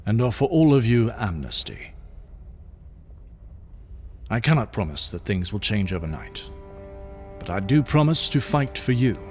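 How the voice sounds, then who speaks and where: A man playing a benevolent king who gives a solemn, earnest speech to refugees. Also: medium pitch. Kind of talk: audio drama acting.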